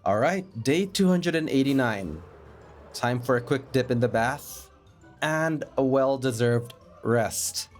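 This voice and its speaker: Guy narrating his minecraft run, raspy from a cold, chatty and self-mocking, faint music under it. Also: mid-range voice, expressive, slow. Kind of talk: gameplay voice-over narration.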